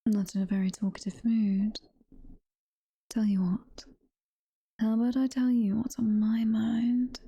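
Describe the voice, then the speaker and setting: A woman's voice: fairly high pitch, flat delivery, warm tone, slightly rough. A British woman playing a doting, possessive demon girlfriend in an intimate ASMR roleplay, whispering and cooing in a motherly way. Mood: adoring, soothing. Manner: whispered, slow, intimate cooing with pet names. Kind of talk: soft-spoken ASMR roleplay.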